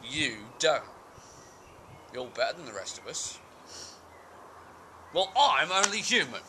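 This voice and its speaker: A man's voice: fairly high pitch, sing-song, takes it slowly. A man playing a cheeky working-class husband, indignant and wheedling in a colloquial stage monologue. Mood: sarcastic, aggrieved. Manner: wheedling, indignant, self-justifying. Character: cheeky, aggrieved working-class husband making flimsy excuses. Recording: amateur home video with background noise.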